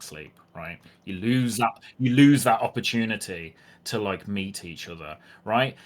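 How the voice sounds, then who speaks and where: A man's voice: lowish voice, a bit bright. A man hosting and judging a tabletop roleplaying game in a chatty, jokey, self-deprecating way. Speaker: host and game master.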